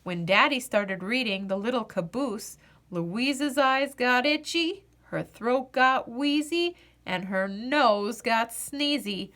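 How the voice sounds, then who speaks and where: A woman storyteller reading a children's picture book aloud, expressively and deliberately, with dramatic pauses on key words. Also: a little high, fairly bright tone.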